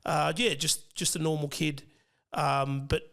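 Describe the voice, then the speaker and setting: Gravelly Australian man, hesitant chat. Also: on the high side, level tone, a little slow, pushed and bright. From Western Sydney, Australia. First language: Fijian. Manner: hesitant, many ums and restarts, laughs easily. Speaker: financial planner guest. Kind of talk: casual interview chat.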